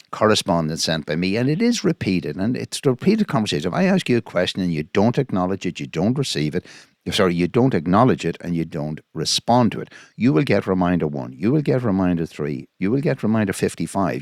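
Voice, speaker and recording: Very low-pitched indignant Irish man. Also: no echo, studio quality. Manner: indignant, emphatic, repetitive. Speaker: commentator analysing a recorded phone call. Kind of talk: informal spoken analysis.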